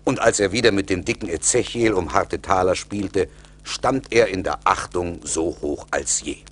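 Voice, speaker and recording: A man narrating a scripted story as a storyteller, measured and deliberate. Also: sits low.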